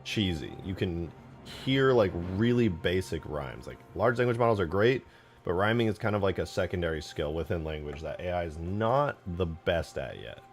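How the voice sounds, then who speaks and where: A man's voice: deep voice, animated, moderate pace. A man chatting casually and informally on a livestream, replying to viewers in a slangy, self-deprecating way. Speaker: streamer, game modder. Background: background music.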